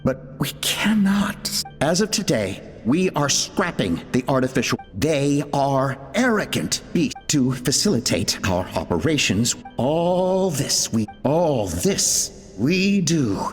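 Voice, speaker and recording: A man's voice: medium pitch, big pitch swings, slow. A man playing a driven, troubled researcher reading out log entries in a dramatic game, earnest and brooding. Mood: weary, bitter. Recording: echoey hall reverb, music behind.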